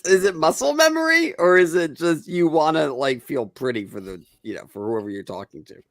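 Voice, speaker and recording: A man's voice: on the high side, animated, a little fast, no room sound, clean studio sound. A man hosting a casual talk show, sarcastic and joking, laughing often and swearing. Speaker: podcast host. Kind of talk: casual chat, mocking commentary.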